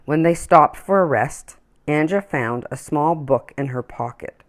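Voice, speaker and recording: Low-voiced woman reading a storybook slowly. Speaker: children's story-time reader. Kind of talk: expressive storybook read-aloud.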